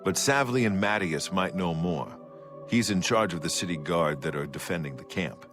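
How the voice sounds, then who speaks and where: An actor playing a gruff male refugee in a fantasy video game, grave and measured as he tells his news. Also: low-pitched, expressive, over music.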